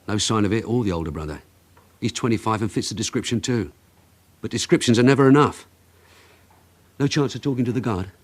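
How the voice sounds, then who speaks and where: An actor playing a dogged, brisk police detective leading an inquiry in a radio drama. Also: male voice, lowish voice, natural voice. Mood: probing, frustrated.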